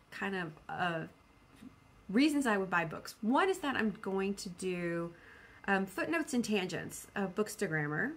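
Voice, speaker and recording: Chatty, self-deprecating woman vlogger. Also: mid-range voice. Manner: animated self-quoting, repeats phrases for emphasis. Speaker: book vlogger. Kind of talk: casual, rambling video chat about personal plans.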